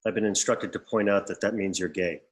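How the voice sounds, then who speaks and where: Man acting a severe, deadpan official, low voice. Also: brisk, natural voice. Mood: coolly interrogating. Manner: formal, deadpan, precise. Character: severe, emotionless executor in a suit, coolly officious. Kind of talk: scripted comedy table read. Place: remote video call.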